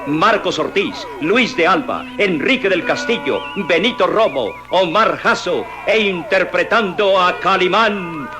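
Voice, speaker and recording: Male announcer, high-pitched and emphatic, old-time radio serial style over loud music. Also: lively intonation. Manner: grandiose, emphatic, drawn-out key words. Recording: vintage radio broadcast with music. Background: dramatic music.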